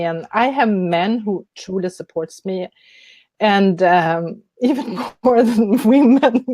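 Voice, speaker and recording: A woman's voice: mid-range voice, darkish tone, dry recording. A woman with a Swedish accent, a guest author on a webinar over a video call, speaking carefully with hesitations and light laughs. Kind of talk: casual webinar chat.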